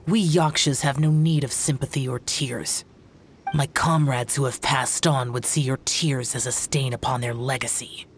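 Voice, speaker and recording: A man's voice: medium pitch, steady tone, normal speed, a little hiss or hum, close to an everyday voice. An actor playing an aloof young male demon-hunting warrior in a video game, speaking in a formal, cold and grave way.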